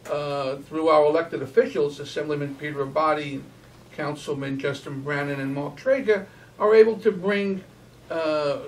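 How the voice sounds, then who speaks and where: A man with a slightly high voice gives halting remarks at a community forum. He is off the microphone, with faint noise underneath. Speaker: political club leader, forum panelist. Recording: distant mic, faint background noise.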